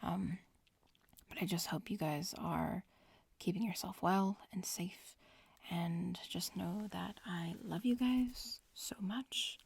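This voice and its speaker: A young woman murmurs in a very breathy, mostly whispered voice. She chats gently and slowly in casual ASMR style, pausing and hesitating as she goes, with a dry, intimate closeness to the sound. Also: on the low side, dry recording. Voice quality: breathy, soft whisper with some fry. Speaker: ASMR video creator.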